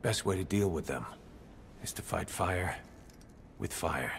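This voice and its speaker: Deep, blunt military officer. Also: male voice, expressive, measured pace, gravelly, slight background noise, exaggerated voice. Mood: dry, insistent. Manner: dry, blunt. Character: hard-edged, pragmatic male military second-in-command. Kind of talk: video game cutscene acting.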